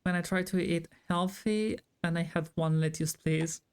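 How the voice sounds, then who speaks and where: A woman's voice: on the low side, steady tone, moderate pace, a little mellow, clear, resonant tone. A woman game streamer reading visual novel dialogue aloud and chatting about it as she plays. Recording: game music behind the voice. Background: game music.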